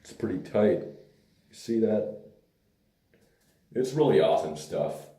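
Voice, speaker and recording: A man with a hoarse, tired voice talks through a hair product review in a loose, rambling way. His voice sits very low and sounds dark and mellow. He is recorded from some distance in an echoey room. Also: a little slow. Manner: relaxed, rambling, hesitant fillers. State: hoarse, lost voice. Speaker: pomade reviewer.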